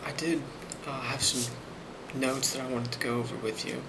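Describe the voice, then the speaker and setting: A man acting as a friendly office boss in an ASMR role play, with a creaky, mid-pitched voice. He rambles softly and hesitantly, reassuring as he goes. Loud noise runs constantly behind him. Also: fairly even intonation, moderate pace, natural voice. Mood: calm, casual. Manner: soft-spoken, hesitant, reassuring.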